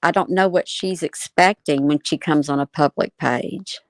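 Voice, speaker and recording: A woman's voice: deep voice, moderate pace. A woman giving casual commentary, repeating her points and asking rhetorical questions. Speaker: video commentator. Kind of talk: casual commentary reacting to a clip.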